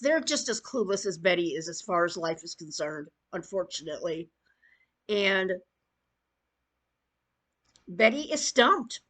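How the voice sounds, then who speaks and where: A woman's voice: lowish voice, sing-song, measured pace, long pauses, fairly bright tone. A woman giving an opinionated rant as an online commentator, blunt, emphatic and scornful in her delivery. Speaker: YouTube commentator.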